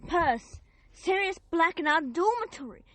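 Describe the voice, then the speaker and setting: A woman's voice: very high voice, lively intonation, moderate pace, a bit bright, no room sound. A woman playing an earnest, concerned young schoolboy in an audio drama. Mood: alarmed.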